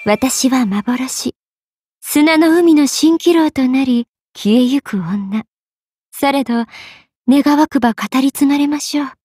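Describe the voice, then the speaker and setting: Game role: teasing young spirit queen, very high voice, unhurried, coy and courteous, dry close studio sound. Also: darkish tone, breathy edge, dry recording, heavily acted. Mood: solemn, wistful. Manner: coy, polite, sweetly drawling, sometimes solemn. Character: playful, money-minded young queen, half spirit, teasing yet regal. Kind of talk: Japanese mobile game character voice acting. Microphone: close studio microphone.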